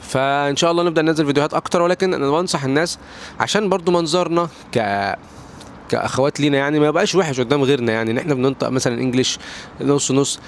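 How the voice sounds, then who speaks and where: A man's voice: fairly high pitch, very fast, a little hiss or hum. A young Egyptian man with an Egyptian accent, giving casual advice in a vlog, rambling with many restarts and slipping in English words. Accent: Egyptian Arabic. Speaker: English pronunciation tiktoker. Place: at home near a balcony door.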